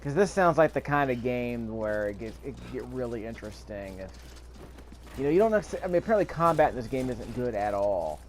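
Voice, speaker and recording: A man's voice: mid-range voice, animated, fairly quick. A man giving casual let's-play commentary, rambling and thinking aloud as he goes. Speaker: gameplay video host. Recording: clear background noise.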